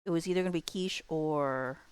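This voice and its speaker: A woman livestreamer chatting casually with her viewers in a playful, expressive way. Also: deep voice, a little fast, clear, resonant tone.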